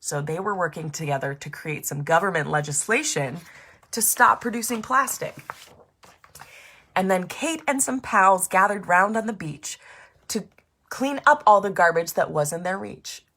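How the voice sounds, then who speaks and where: A woman reading and explaining a storybook for children in an expressive, animated way, speaking directly to young listeners. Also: on the low side, moderate pace.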